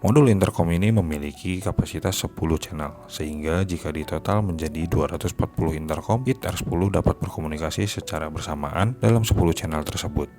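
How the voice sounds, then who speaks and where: A man's voice: low voice, fast talker, hardly any pauses, darkish tone. A man narrating a scripted gadget review as a product reviewer. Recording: music behind the voice. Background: music.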